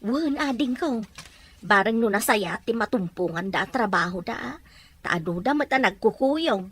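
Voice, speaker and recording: A practical middle-aged mother, acted by a woman in an audio drama. Her mid-range voice is husky, with a Filipino Ilocano accent. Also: medium pitch, lively intonation, moderate pace, a bit bright. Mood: informative. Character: practical mother of a grown daughter, urging plans forward.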